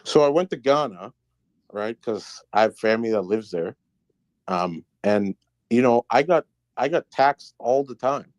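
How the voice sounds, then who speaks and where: A man's voice: medium pitch, expressive, measured pace, a little mellow, dry recording. A young man from Michigan calling into a live show, hesitant and full of fillers and restarts. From United States (Michigan). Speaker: caller. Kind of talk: casual call-in talk.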